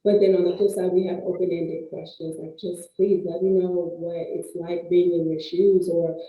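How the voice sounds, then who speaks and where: Woman, podcast host, counselor, upbeat, emphatic, very mellow tone, echoey room. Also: lowish voice, flat delivery, moderate pace. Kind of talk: casual interview chat.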